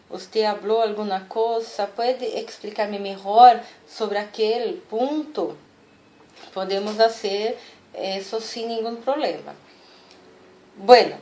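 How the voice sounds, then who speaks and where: A woman's voice: a little high, darkish tone. A woman with a Brazilian accent, a native Portuguese speaker, teaching an informal language lesson, repeating and stressing key words and often checking in with short questions.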